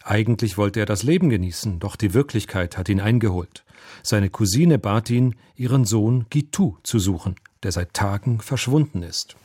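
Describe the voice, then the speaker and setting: A man's voice: on the low side, moderate pace, darkish tone, slightly rough, dry recording, studio quality. A man reading a scripted story summary as a radio drama announcer.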